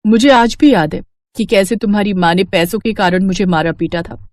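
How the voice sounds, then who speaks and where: A woman's voice: medium pitch, normal speed, darkish tone, no room sound, studio quality, natural voice. A woman as a bold ambitious young woman. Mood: earnest, determined. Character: determined, ambitious young woman from a poor family.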